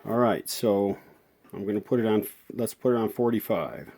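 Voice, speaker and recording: A man with a fairly low, dark and very mellow voice hosts a hands-on repair demonstration. He talks casually and without hurry, thinking aloud as he works. His way of speaking is plain and folksy. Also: animated, moderate pace, gravelly, breathy edge. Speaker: host of a vintage audio repair channel.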